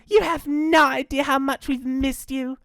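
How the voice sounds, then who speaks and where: A woman's voice: sits high, fairly even intonation, unhurried, fairly bright tone, dry recording, clean studio sound, voice pushed up. A woman voicing young kangaroo joeys in a children's story, eager and childlike, with an exclamatory delivery. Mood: excited. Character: little kangaroo joeys, excitable, trusting children. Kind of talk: children's story character acting.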